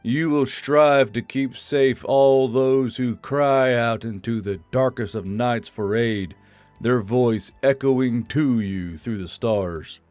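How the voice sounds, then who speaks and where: A resolute military drill sergeant from a science fiction audio drama, acted by a man. He speaks slowly and solemnly, as if leading a ceremony, at a medium pitch over a music bed. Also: a little mellow, no room sound, lightly acted. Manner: measured, solemn, ceremonial delivery.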